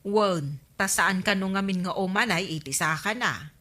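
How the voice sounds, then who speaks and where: Sharp-voiced gossipy village woman. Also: medium pitch, normal speed. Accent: Ilocano. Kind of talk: Filipino radio comedy drama acting.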